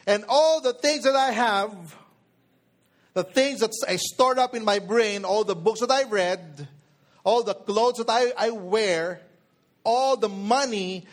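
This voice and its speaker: A man's voice: sits high, unhurried. A man in his forties with a Filipino accent, delivering an emphatic sermon and putting questions to his listeners. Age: 40s. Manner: code-switches between English and Tagalog. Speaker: preacher.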